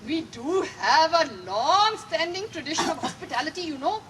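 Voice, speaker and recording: A woman's voice: extremely high pitch, expressive, moderate pace. A woman performing a comedic dramatic reading, theatrical and gushing. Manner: theatrical, gushing, sing-song delivery. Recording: noisy live room recording.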